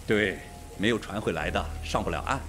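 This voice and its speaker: A man's voice: deep voice, brisk, a bit bright, slight background noise. A man acting an insistent, suspicious police detective in a Mandarin radio drama. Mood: agitated.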